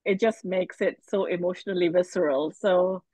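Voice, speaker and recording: A woman novelist on a video call, reflecting slowly and often restarting her phrases. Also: lowish voice, fairly even intonation, mellow, breathy edge, dry recording. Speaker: novelist panelist. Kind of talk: casual panel discussion. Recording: dull video call audio.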